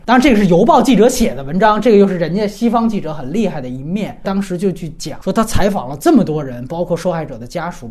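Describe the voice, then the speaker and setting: Low-voiced woman, animated and conversational. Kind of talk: conversational commentary and storytelling.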